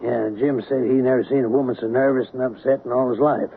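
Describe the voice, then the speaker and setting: Actor as a folksy sheriff on crackly old radio. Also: male voice, medium pitch, steady tone, fast talker, natural voice. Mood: puzzled, chatty. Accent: American. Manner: folksy, hesitant, rural turns of phrase. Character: folksy, plain-spoken small-town male sheriff, apologetic but probing. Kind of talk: old-time radio drama acting. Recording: narrow, noisy old radio broadcast.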